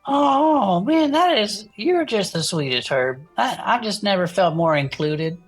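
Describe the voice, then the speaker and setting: A woman playing a folksy, sentimental gnome who hosts a comedic podcast about cryptids, talking in an effusive, drawn-out way. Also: mid-range voice, animated, soft background music. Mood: touched, grateful. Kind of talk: improvised comedic fiction podcast.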